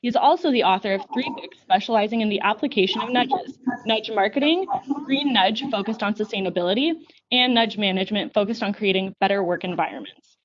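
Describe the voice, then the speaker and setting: A young woman moderating a webinar, reading a formal introduction clearly and steadily over a video call. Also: mid-range voice, brisk, slight background noise. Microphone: video call microphone. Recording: slightly dull lo-fi online call audio.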